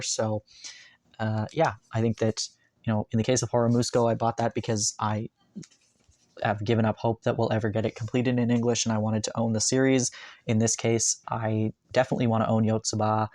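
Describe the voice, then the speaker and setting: A man's voice: fairly low pitch, darkish tone, no room sound. A man talking casually and enthusiastically about his manga purchases, rambling with many ums and uhs. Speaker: manga collector vlogger. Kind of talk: casual haul video talk. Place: bedroom, indoors.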